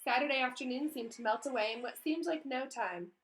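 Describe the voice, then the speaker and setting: A woman with a fairly high voice reads a children's book aloud. Her delivery is that of a teacher, expressive and addressed to young listeners. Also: fairly quick, rough recording.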